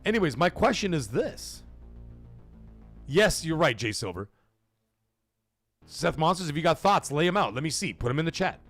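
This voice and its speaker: A man hosting a casual live commentary stream, talking to his chat in an animated, profane way full of rhetorical questions. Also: a little high, moderate pace, pauses often, faint music behind. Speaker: livestream host.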